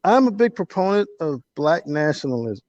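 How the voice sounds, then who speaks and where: A middle-aged man talking casually and reflectively as an interview guest, with halting phrasing, on lo-fi call audio. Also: fairly high pitch, sing-song, a little mellow, dry recording.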